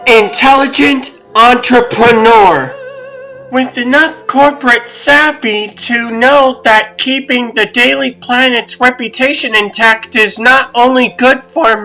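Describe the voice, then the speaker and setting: A man's voice: very high-pitched, pushed and bright, exaggerated voice, poor audio. A man voicing a confident, ambitious woman reporter in a comic book dub, with an insistent, emphatic delivery. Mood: exasperated, insistent. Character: confident, ambitious adult woman reporter arguing her case.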